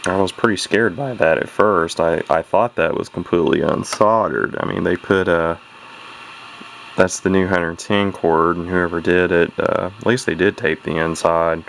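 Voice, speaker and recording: Very low, gravelly man at his workbench. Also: fairly bright tone, slight background noise. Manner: halting, rambling, full of restarts and fillers; plain-spoken folksy grammar. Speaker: radio hobbyist showing a repair. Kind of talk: casual off-the-cuff repair commentary.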